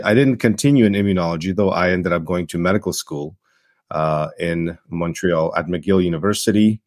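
A middle-aged man from Czechoslovakia, a physician, giving an interview answer in a halting way with many fillers and restarts. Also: sits low, no room sound, clean studio sound. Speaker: guest physician. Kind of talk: interview answer, recounting background.

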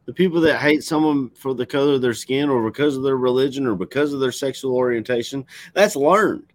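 A man's voice: medium pitch, brisk. A man with a Kentucky accent hosting a casual talk show, speaking earnestly and in a rambling way. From Kentucky, USA. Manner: earnest, rambling, frequent "you know what I'm saying". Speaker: show host. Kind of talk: casual podcast chat.

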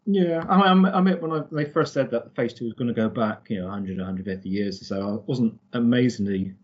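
A man's voice: medium pitch, fairly quick, husky, lo-fi sound. A man co-hosting a podcast, giving his thoughts on books in casual conversation. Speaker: podcast co-host. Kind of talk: casual book discussion.

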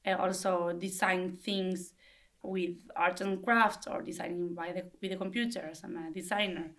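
A woman's voice: lowish voice, flat delivery, moderate pace, a bit bright. Young Argentine woman, non-native speaker. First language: Spanish. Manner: thoughtful, searching for words. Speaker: language assistant, guest.

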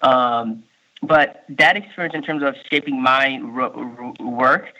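A man in his thirties, born in Haiti and raised in Miami, with a Miami accent, answering interview questions in a halting way, full of fillers and restarts. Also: medium pitch, pushed and bright. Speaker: state workforce official, guest. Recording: muffled lo-fi recording.

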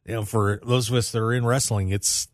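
A man's voice: mid-range voice, steady tone, fairly quick, dry recording, clean studio sound. A man hosting a sports talk radio show in a casual, conversational way, addressing his listeners directly.

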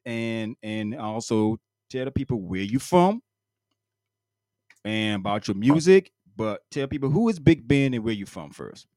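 A man's voice: mid-range voice, measured pace, no room sound. Male radio host, pumped and repetitive, dry close sound. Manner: energetic, repetitive hype talk. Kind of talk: hyped radio interview.

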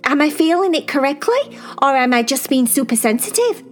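Lady putting on a super high gushy girl voice, chatty and breathless, bouncing all over, soft music under it. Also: sing-song. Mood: wary. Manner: gushing, rapid-fire questions, squealing. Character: excitable chatty young girl, endlessly curious.

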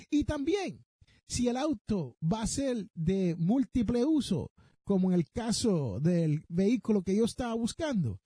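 A man's voice: sits high, dark tone, breathy edge, dry recording, clean studio sound. A man with a Puerto Rican accent hosting a podcast, giving advice emphatically and straight to the listener, with some English terms mixed in. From Puerto Rico. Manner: emphatic, rhetorical questions, addresses listeners directly. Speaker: personal finance podcast host.

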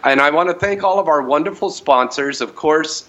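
A man's voice: fairly high pitch, normal speed, dull audio, very noisy. A middle-aged man hosting a live radio talk show from a home studio, with a rambling, upbeat announcer delivery and plenty of fillers.

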